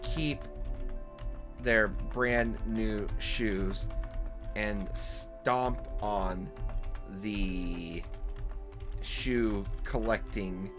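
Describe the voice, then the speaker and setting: Male game streamer, unhurried and halting, incredulous joker, faint music and typing behind. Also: lowish voice, steady tone. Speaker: streamer playing an AI storybook game. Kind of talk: casual playthrough commentary.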